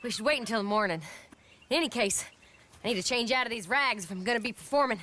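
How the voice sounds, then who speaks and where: A woman's voice: fairly high pitch, quick pace, bright, forceful tone, slight background noise. An actress playing a no-nonsense female outlaw in a video game, blunt and confident with an American Western drawl. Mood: businesslike. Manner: blunt, plain-spoken, confident. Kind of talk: video game cutscene acting.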